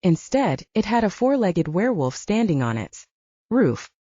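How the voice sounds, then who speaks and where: A woman's voice: mid-range voice, very animated, normal speed, dark tone, breathy edge, dry recording, muffled recording. A woman reading scripted fiction as an audiobook narrator.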